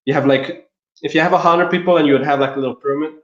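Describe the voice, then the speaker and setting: A man's voice: on the high side, steady tone, rapid-fire, fairly bright tone. Man in a roomy, dull recording. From Belgium. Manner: informal, explains step by step. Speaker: interview guest, LinkedIn marketer. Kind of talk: casual explanatory interview talk. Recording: roomy, slightly dull online-call audio.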